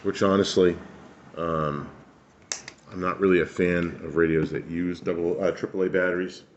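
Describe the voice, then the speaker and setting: A man's voice: deep voice, steady tone, normal speed, highs cut off, slight background noise. A man giving a casual hands-on product review, rambling and thinking aloud as he goes. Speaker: radio reviewer.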